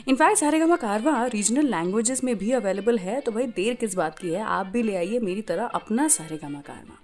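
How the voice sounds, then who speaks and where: Indian lady radio host, highish voice, chatty scripted storytelling w bits of english, music under it. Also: darkish tone. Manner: chatty, addresses listeners directly, mixes in English phrases.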